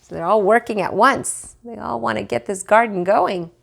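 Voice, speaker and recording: A woman reading a picture book aloud to children in an expressive way, pausing to put questions to the listeners. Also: mid-range voice, creaky. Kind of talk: animated read-aloud storytelling for children.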